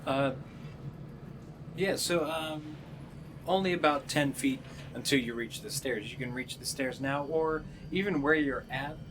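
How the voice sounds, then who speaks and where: A man narrating a tabletop game as game master, describing scenes in a halting, improvised way. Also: medium pitch. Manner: halting, pieces descriptions together as he goes. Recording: clearly noisy room sound.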